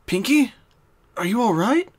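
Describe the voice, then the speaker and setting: A woman voicing a concerned young female cartoon pony in a fan story, gentle, questioning and reassuring. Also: on the high side, animated, unhurried, pitched above the performer's own voice.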